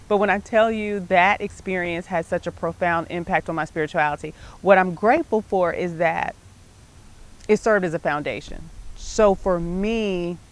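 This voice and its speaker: A middle-aged American woman hosting her show, telling personal stories about life and faith in a conversational, emphatic way. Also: lowish voice. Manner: conversational, emphatic, often checking in with "right?". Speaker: show host.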